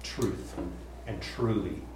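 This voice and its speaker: A man teaching a casual Bible study to a group, chatty and quick to laugh, often repeating and restarting his words. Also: fairly low pitch, darkish tone, a little hiss or hum. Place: room with group listening. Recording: echoey room, voice from far off.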